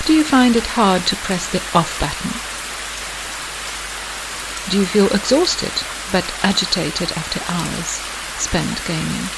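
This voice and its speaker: A meditation guide delivering scripted hypnotic narration in a measured, deliberate way with pauses. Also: medium pitch, expressive. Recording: hissy, clearly noisy background.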